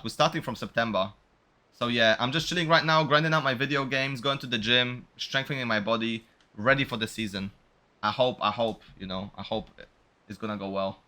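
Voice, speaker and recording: A man's voice: medium pitch, pushed and bright. A young man doing casual livestream game commentary, upbeat and slangy as he chats with his viewers. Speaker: game streamer. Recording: music playing behind the voice.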